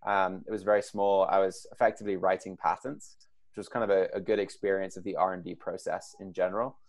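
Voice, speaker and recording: A man in his late twenties with an English accent, a startup co-founder answering interview questions in a measured way with fillers and restarts. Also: deep voice, level tone. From England. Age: 20s.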